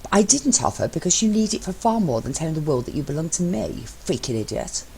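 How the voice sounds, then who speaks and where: A woman voicing a bossy, sardonic husband in an amateur story reading, speaking with emphatic, deliberate sarcasm. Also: deep voice, big pitch swings, creaky, a little mellow, a little hiss or hum, heavily acted, low-quality recording. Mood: exasperated.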